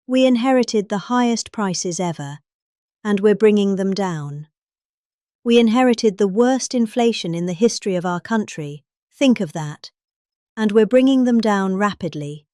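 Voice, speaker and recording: Expressive middle-aged British woman, emphatic delivery. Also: mid-range voice, no room sound, clean studio sound. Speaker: reader. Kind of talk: dramatic reading of a political speech.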